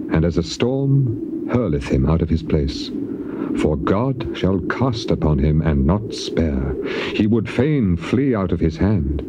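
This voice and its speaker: An actor playing a suffering, defiant biblical patriarch in a grave, declamatory dramatized scripture reading, drawing out the ends of phrases. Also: male voice, sits low. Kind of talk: dramatized scripture reading, classical stage acting. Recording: noisy, muffled old cassette tape.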